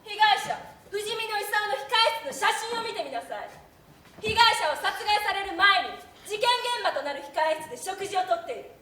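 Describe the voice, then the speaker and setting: A very high, bright female voice in an echoey hall plays a haughty young prosecutor. She is curt and imperious, addressing everyone with open contempt in theatrical courtroom style. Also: animated, moderate pace, full character voice. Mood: coldly triumphant. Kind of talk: theatrical stage acting. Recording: echoey stage, distant mic, faint noise.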